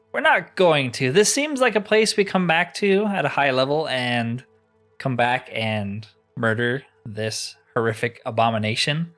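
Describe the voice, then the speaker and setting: A man's voice: medium pitch, a bit bright. A man hosting a gaming video, giving casual commentary on his roleplay playthrough.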